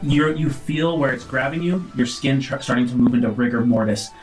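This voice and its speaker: A man running a tabletop game, narrating dramatically and descriptively, with pauses as he searches for words. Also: medium pitch, steady tone, rough recording. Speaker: game master. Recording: music behind the voice. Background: music.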